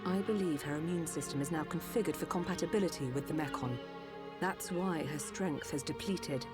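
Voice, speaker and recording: An actress playing a calm, precise female Machina doctor explaining a treatment. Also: low voice, a little fast, close to an everyday voice. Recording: game cutscene with music.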